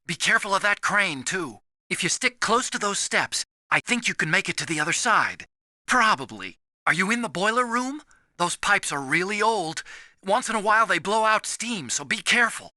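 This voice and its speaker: An earnest, boyish engineer from a video game, high and lively in pitch. He sounds soft-hearted and anxious, with a very sharp, dry sound. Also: normal speed, no echo, exaggerated voice. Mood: cautionary.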